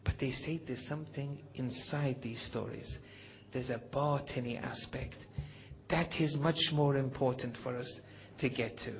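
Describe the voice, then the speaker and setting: A man delivering a devotional lecture, reading Arabic passages and explaining them, speaking slowly and deliberately and sometimes dropping to a whisper for emphasis. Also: medium pitch, steady tone, a little hiss or hum, rough recording. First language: not Farsi (Farsi is his second language). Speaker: religious lecturer.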